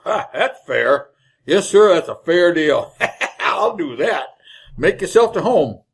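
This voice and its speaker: An actor playing a greedy, folksy wagon driver in an old-time radio western, talking in blunt rustic cowboy speech. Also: male voice, on the high side, sing-song, slow pace, vocal fry, slightly rough, full character voice. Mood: folksy, agreeable. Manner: drawling rustic cowboy grammar, blunt, jovially callous.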